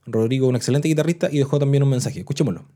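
A Chilean man hosts a podcast in casual, chatty talk. His voice is mellow and dark in tone, and his pitch sits in an ordinary middle range. He often restarts phrases as he talks. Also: racing. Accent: Chilean Spanish. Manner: chatty, restarts phrases, reads out listener messages. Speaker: podcast host.

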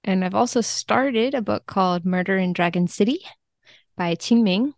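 A woman's voice: medium pitch, normal speed, no echo, clean studio sound. A woman talking casually and enthusiastically as a guest on a podcast. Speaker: podcast guest, book translator. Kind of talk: casual chat.

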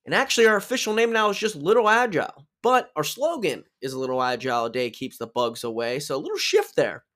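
A man's voice: a little high, sing-song, fast talker, a bit bright. A man hosting a solo podcast, explaining casually with restarts while nursing a sore throat from a cold. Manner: upbeat, chatty, with restarts and self-corrections. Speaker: podcast host.

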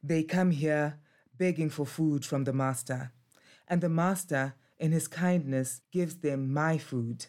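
Old male guard dog in a storybook, wary and stern, low unhurried voice, read by a woman. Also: a little mellow. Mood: grumbling. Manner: grave, cautioning.

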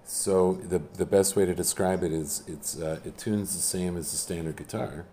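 A man in casual conversation about music, speaking haltingly with many restarts and a self-deprecating manner. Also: low-pitched, steady tone, warm tone, slight background noise. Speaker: musician guest. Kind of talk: casual chat.

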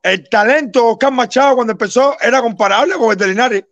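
Teasing man with a high voice. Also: fast, dry recording. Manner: loud and teasing, repeats names to interrupt. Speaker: panelist. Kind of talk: heated baseball debate. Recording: dull lo-fi sound.

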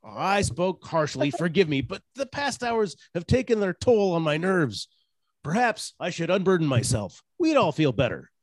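Man as hard-boiled detective, 1940s radio drama, wisecracking, highly animated, theatrical, dry close sound. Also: moderate pace, dry recording. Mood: aggrieved, narrating. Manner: theatrical, sardonic, clipped wisecracks.

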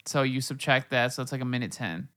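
A man's voice: mid-range voice, quick pace, sharp tone. A young man guesting on a casual podcast in a home studio, playful and laughing as he teases the host.

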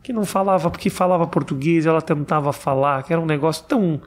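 A man's voice: fairly high pitch, steady tone, quick pace. A man hosting an interview, chatty and playful, asking questions and telling anecdotes. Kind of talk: casual interview chat.

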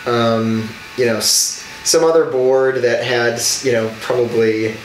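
A man's voice: medium pitch, fairly even intonation, unhurried, reverberant, recorded from a distance, a little hiss or hum, low-quality recording. A young man, an alderman from Wisconsin, telling his life story earnestly in an interview. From Racine, Wisconsin. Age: young adult. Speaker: alderman guest.

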